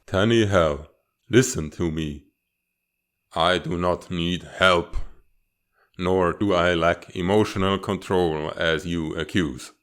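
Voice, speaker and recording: A man acts out a serpent-dragon leader and father with a very deep voice. He speaks slowly, in formal, measured phrases. He is stern, guarded and used to command, in dramatic comic fandub style.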